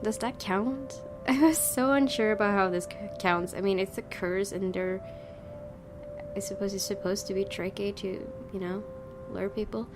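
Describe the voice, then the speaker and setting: A woman doing a casual let's play, reading a visual novel aloud and commenting in an expressive way. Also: medium pitch, normal speed. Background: game music with guitar.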